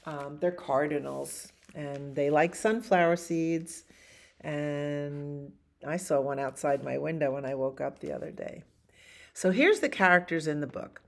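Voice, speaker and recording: A woman hosting a bedtime story time for young children, chatting in unhurried asides and putting questions to the listeners. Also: deep voice, creaky. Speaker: library storyteller.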